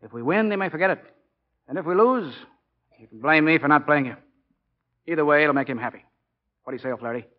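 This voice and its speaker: A man's voice: fairly high pitch, fast talker, long pauses, close to an everyday voice. An actor playing a stubborn, fatherly college football coach in his forties in a 1940s radio drama, speaking plainly and with measure. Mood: kindly, frank. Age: 40s. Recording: dull, band-limited 1940s radio recording.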